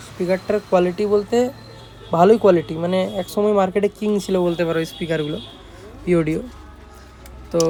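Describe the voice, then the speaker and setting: A man's voice: high voice, darkish tone, a little hiss or hum. A man with a West Bengal Bengali accent casually showing his repair work as a shop owner, informal and repetitive, often checking in with listeners. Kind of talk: casual product showcase vlog.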